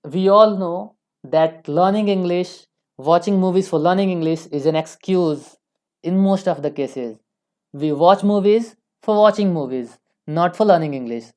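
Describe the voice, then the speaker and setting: Female online tutor, young, Indian accent, low mellow voice, informal and emphatic lecturing style. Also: measured pace. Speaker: online English teacher.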